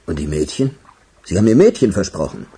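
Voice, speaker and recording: A man's voice: sits low, sing-song, fast talker, dark tone, breathy edge, full character voice. A man playing a coarse, impatient client in a radio crime drama, grumbling as he talks. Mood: indignant.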